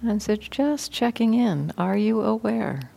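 A woman teaching meditation, guiding a sitting practice in a gentle, unhurried way with long pauses. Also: mid-range voice, expressive, gravelly. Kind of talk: guided meditation instruction.

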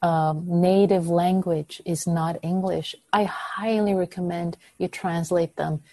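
A woman whose first language is Persian, leading a meditation group discussion over a video call in a soft, nurturing way with frequent affirmations. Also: lowish voice, steady tone, takes it slowly, no echo. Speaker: meditation teacher.

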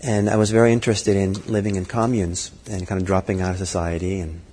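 A middle-aged man giving a Buddhist dharma talk, thoughtful and halting, with frequent fillers and restarts. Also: low voice, little pitch movement, warm tone, a little hiss or hum. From Norway. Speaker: Buddhist teacher. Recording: long hall reverb.

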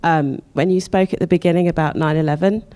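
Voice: medium pitch, steady tone, quick pace, warm tone. An audience member asking a question after a talk, friendly and thankful, speaking from off-mic.

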